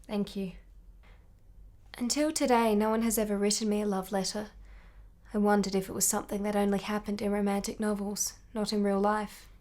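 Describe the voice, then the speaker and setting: An actress plays a passionate young married woman in a period radio play, mid-pitched and articulate. She is candid and intense, with a measured delivery full of yearning. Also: flat delivery, darkish tone, natural voice. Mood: softening. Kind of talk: radio drama acting.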